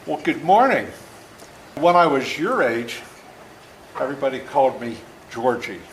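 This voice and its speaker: A man's voice: medium pitch, very animated, measured pace. Older man storytelling in an echoey room. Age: seventies. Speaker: author reading to schoolchildren. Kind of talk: storytelling talk and read-aloud to children. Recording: echoey room with faint noise.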